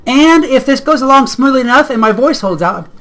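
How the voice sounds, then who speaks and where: A woman hosting a casual gaming vlog, slightly hoarse as if getting sick, chatty and rambling and easily sidetracked. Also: sits high, expressive, brisk. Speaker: gaming channel host.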